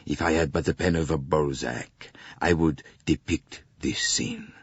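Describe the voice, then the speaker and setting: An actor playing a philosophical, expansive continental gentleman with a theatrical, sighing manner in an audiobook. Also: a bass voice, unhurried, creaky, husky, dry recording, dull audio, heavily acted. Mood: wistful, philosophical.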